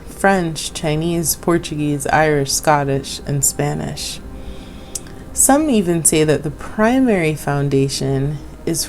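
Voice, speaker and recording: A woman raised in Jamaica hosts her own vlog in a low, deep voice. She talks slowly, gently and affirmingly, with small laughs. Soft music plays faintly behind her. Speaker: channel host. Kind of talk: casual vlog talk.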